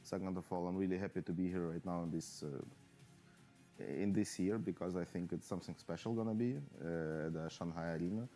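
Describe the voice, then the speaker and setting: A low-voiced man answering interview questions as a pro player. His tone is dark and mellow, and he pauses often with fillers. Soft music plays behind him. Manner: halting, many fillers. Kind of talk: post-match player interview.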